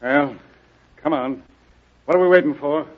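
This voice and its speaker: Actor as rough cornered killer, muffled old radio. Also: male voice, on the high side, a little slow, over loud music, lightly acted. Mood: resigned, bitter. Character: cornered theatre property man, a bitter father confessing murder. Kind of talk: old radio mystery acting. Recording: muffled vintage radio drama recording.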